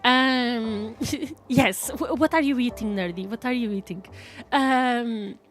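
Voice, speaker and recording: A woman's voice: fairly high pitch, slow, soft background music. A woman from Portugal with a Portuguese accent, chatting casually with viewers on a live stream, laughing often and restarting her words.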